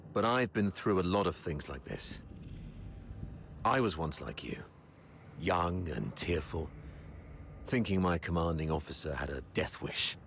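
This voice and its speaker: Very deep-voiced old military commander. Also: male voice, expressive, a little slow, gravelly, pauses often, a bit bright, slight background noise, exaggerated voice. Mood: grave, resolute. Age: older. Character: weathered old military commander, wry and steadfast. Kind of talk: game voice acting.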